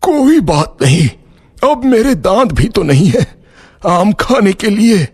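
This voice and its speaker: Man playing a very high, weary old man. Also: big pitch swings, breathy edge, exaggerated voice. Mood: resigned. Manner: slow, weary, resigned. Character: weary, toothless very old man wanting rest. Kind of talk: children's moral cartoon story dialogue.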